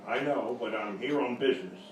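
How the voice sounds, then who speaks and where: A man playing a wisecracking, hard-boiled private detective with deadpan quips in a live radio comedy sketch. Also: medium pitch, brisk, crowd noise, lightly acted. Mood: deadpan, wry. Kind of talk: radio sketch comedy, noir parody before a live audience. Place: small live venue with audience. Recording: echoey live room, distant mic, noisy.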